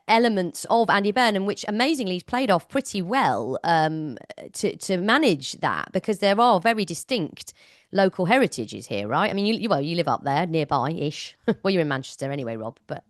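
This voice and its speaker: A woman hosting an interview in casual chat, enthusiastic and lively, with hesitant restarts. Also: on the low side, no echo, studio quality. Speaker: host.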